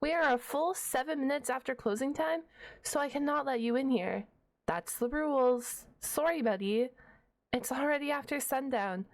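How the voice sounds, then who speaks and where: A woman's voice: high-pitched, moderate pace. A woman playing a shy, nerdy half-dragon librarian in an ASMR roleplay, stammering and wordy, awkwardly defensive and a stickler for rules. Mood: stern, officious. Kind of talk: ASMR roleplay audio drama.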